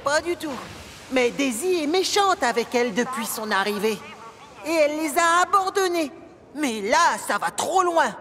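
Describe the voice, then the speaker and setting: A woman's voice: high voice, animated, normal speed, fairly bright tone, long reverb tail. A woman voicing an earnest, concerned young steam locomotive in a French cartoon dub. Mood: indignant.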